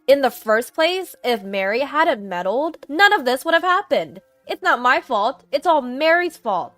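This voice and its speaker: Villainous young mother for audio story, played by a woman narrator: shrill, raised, defiant, desperate. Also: sing-song, a little slow, dry recording, faint music behind, exaggerated voice. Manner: shrill, shouting, indignant.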